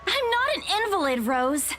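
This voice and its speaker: A woman's voice: very high voice, big pitch swings, moderate pace, a bit bright, soft background music, pitched above the performer's own voice, heavily acted. A woman voicing a stubborn, flustered young female agent who protests and sulks, in a visual novel read-aloud. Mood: defensive. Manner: protesting, sulky.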